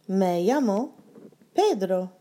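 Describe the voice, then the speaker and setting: A woman teaching short phrases in a slow, deliberate repeat-after-me drill, saying each phrase three times. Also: mid-range voice, sing-song, dark tone. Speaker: language teacher.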